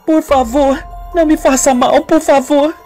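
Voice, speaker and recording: A man's voice: very high voice, steady tone, unhurried, a little mellow, soft background music, voice pushed up. A man voicing an innocent, timid young woman, polite and hesitant, in a radio soap opera. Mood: frightened.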